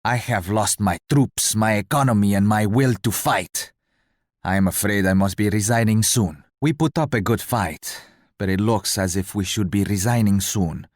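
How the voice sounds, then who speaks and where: A man acting a stately, low-voiced colonial-era ruler. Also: normal speed, dry recording, studio quality, lightly acted. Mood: grim, resigned. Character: stately, courteous male colonial-era ruler, an allied leader. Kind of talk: scripted video game voice lines.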